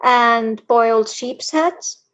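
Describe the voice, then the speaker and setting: A woman's voice: fairly high pitch, extremely slow, a bit bright. A woman from Iceland with an accent that mixes Icelandic, American and European sounds, chatting casually as a guest author over an online call. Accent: mixed Icelandic, American and European. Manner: chatty, laughs often. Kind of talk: casual interview chat. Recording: dull lo-fi online call audio.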